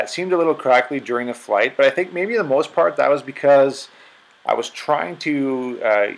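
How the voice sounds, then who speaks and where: A man with a mid-pitched voice talks casually over clearly audible music. He sounds like an informal vlogger giving a gear review, phrasing things a little hesitantly as he goes. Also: fairly bright tone, poor audio. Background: music under the voice.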